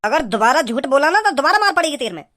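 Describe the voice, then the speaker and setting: Man voicing a shrill, angry cartoon father. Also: sing-song, quick pace, pushed and bright, heavily acted. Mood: angry, scolding. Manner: indignant, scolding, threatening. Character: angry, stern father scolding his wayward son. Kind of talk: amateur comedy cartoon dubbing.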